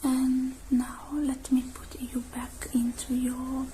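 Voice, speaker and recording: A woman whispering softly and soothingly in an ASMR roleplay, speaking directly to the listener as a repair technician. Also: high voice, completely flat delivery, slow pace, slight background noise. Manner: soothing, personal attention addressed to the listener. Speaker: ASMR creator playing a robot repair technician. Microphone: close ASMR microphone.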